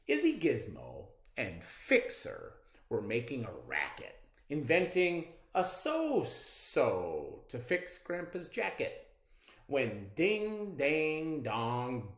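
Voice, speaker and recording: A man reading a children's storybook aloud as an expressive narrator, theatrical and deliberate. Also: mid-range voice, echoey room. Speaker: storybook reader.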